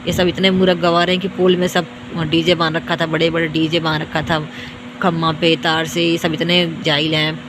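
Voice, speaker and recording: Hoarse young woman ranting, flat voice. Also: low voice, normal speed, noisy background. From India. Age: young adult. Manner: repetitive, boastful, scolding. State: tired. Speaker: vlogger. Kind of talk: self-filmed rant to viewers.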